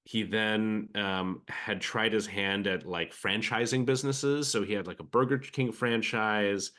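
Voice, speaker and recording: A man with a bright, forceful voice pitched a little low speaks with an American accent. He is a guest on an interview podcast. He talks reflectively and piles up fillers and restarts as he goes. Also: fairly even intonation, unhurried. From Philippines. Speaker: venture capital guest.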